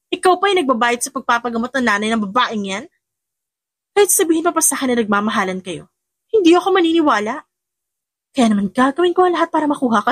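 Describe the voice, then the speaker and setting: Woman acting a high-voiced, spiteful obsessed rival. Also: dry recording. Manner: defiant, accusing, forceful delivery.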